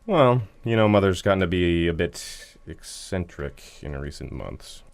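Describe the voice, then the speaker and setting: A put-upon rich heir in an audio drama, speaking in a low voice. He is wary and placating, easily exasperated. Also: expressive, a little slow, creaky, full character voice. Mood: polite. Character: cautious, put-upon son of a rich family, a twin.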